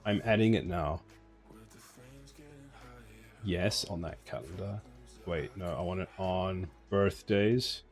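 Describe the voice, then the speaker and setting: A South African man in his forties streams his game development live, reading viewers' comments aloud and answering in a rambling, self-mocking way. His voice sits fairly low. Soft music plays faintly behind him. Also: slow pace, long pauses. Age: 40s. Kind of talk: casual live stream chat while coding.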